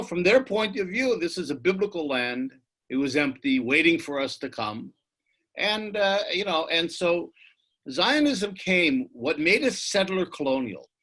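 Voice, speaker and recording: An older man speaking as an interview guest over a video call, in a lively, swooping delivery. His voice is bright and forceful, lecturing with emphasis and frequent restarts. He keeps coming back to "you see" as he builds his case. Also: fairly high pitch. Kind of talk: earnest explanatory conversation.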